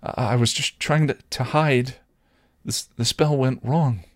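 A man's voice: low-pitched, fairly even intonation, moderate pace, darkish tone, husky, dry recording, studio quality. A man voicing a timid, guilt-ridden young woman who stammers apologetically in a story reading. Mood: frightened, apologetic.

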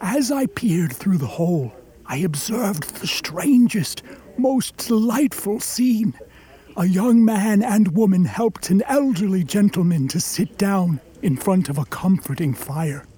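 A man plays a wounded, articulate man-made creature telling its own tale in a radio drama. The voice is high and soft-edged, and the delivery is slow, formal and full of longing. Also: animated, slightly rough, exaggerated voice. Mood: weary, searching. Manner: formal, literary, measured storytelling with drawn-out words. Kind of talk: dramatic radio play narration.

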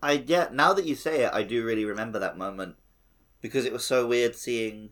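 A man's voice: mid-range voice, a little fast, fairly bright tone. A man co-hosting a podcast, talking casually about video games. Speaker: podcast co-host. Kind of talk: casual discussion of a video game.

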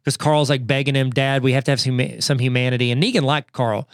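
A man co-hosting a podcast, telling a long story casually, with lots of fillers, restarts and quick asides. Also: medium pitch, steady tone, no echo, studio quality. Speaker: podcast co-host. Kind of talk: casual chat.

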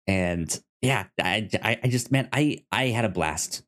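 Slow, halting man chatting about movies. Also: lowish voice, expressive, fairly bright tone. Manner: halting, repetitive, enthusiastic fan talk. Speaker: podcast co-host. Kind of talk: casual movie discussion.

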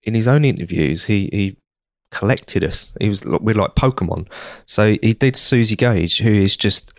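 Croaky low-voiced man, chatty guest. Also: lively intonation, normal speed, no room sound, clean studio sound. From United Kingdom. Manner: chatty, rambling with restarts. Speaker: podcast guest. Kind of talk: casual interview answers.

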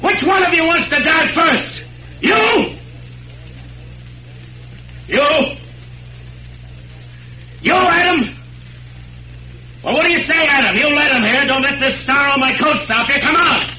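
A stern frontier marshal in a vintage radio western, with a forceful, bright American voice. He speaks in firm, terse commands. The recording is muffled and lightly hissy. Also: extremely high pitch, slow, pauses often, exaggerated voice. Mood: defiant fury. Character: stern, plain-spoken adult male frontier marshal.

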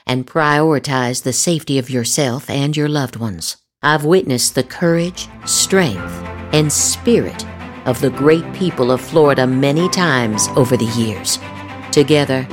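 A woman host reading social media posts aloud in a theatrical, emphatic way, drawing out her words. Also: rumbling low. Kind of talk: dramatic verbal replay of written posts. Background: music.

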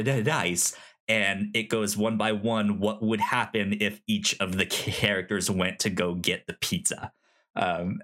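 A man's voice: on the low side, a bit bright. A man chatting casually and enthusiastically about TV as a podcast host, often stammering and repeating sounds. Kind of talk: casual chat about TV shows.

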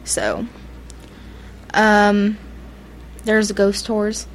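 A woman's voice: mid-range voice, completely flat delivery, extremely slow, a little hiss or hum. A young woman, co-hosting a podcast and telling ghost stories in a rambling way, full of fillers. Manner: rambling, filler-heavy, giggly. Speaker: podcast co-host. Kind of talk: casual ghost-story chat.

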